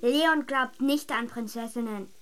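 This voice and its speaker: Bossy kid big sister, radio play, really high voice, snappy and secretive. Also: female voice, measured pace, fairly bright tone, soft background music. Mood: defiant, annoyed. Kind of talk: German radio drama acting.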